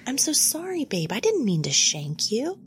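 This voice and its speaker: A woman acts a devoted prison sweetheart in a comedy audio drama. She is eager and pleading, with a very animated melody and faint noise behind her. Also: on the high side, moderate pace. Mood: apologetic, soothing.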